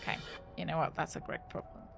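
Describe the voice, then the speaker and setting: A woman's voice: quick pace, over loud music. A woman chatting casually as she streams a game, thinking aloud with wry, joking asides. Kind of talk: casual live gaming commentary.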